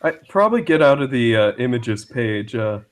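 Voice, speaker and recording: A man chatting casually as a guest on a live stream, speaking in a hesitant, halting way. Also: medium pitch, sing-song. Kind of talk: casual chat.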